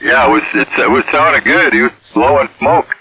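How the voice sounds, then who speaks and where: A man's voice: mid-range voice, fairly even intonation, a little fast, vocal fry. A man chatting casually over CB radio in a hesitant, folksy way. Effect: radio transmission. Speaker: CB radio operator. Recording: tinny, band-limited CB radio signal.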